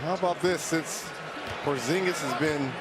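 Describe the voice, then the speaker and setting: A man giving measured, analytical color commentary on a live basketball game. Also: fairly high pitch, very noisy.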